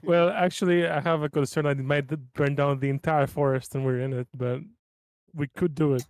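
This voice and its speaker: A man's voice: on the high side, fairly even intonation, fairly quick, darkish tone, clear, resonant tone, dry recording. A man playing a tabletop role-playing game, chatting casually and asking tentative, stammering questions.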